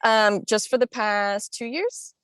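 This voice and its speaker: A woman's voice: fairly high pitch, slow pace, fairly bright tone, clear, resonant tone, no echo. A young woman, a podcast guest, chatting casually and upbeat, often saying "like" and laughing. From born in Italy, raised in northern Florida. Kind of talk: casual chat.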